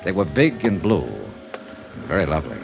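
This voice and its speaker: An American actor playing a hardboiled, sardonic insurance investigator in a 1950s radio drama, speaking in a clipped, wry way. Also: male voice, deep voice, very animated, normal speed, creaky, dull audio, over music, exaggerated voice. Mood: reflective narration. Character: hardboiled wry male insurance investigator, narrating his cases. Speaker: lead actor and narrator. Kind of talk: 1950s radio detective acting. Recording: noisy old radio recording.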